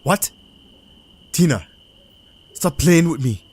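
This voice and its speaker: A man's voice: fairly high pitch, animated, takes it slowly, a little mellow, slightly rough, dry recording, heavily acted. Man playing an earnest, anxious young man. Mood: alarmed, exasperated. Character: anxious protective young man, secretly a werewolf. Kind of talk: dramatized audiobook dialogue.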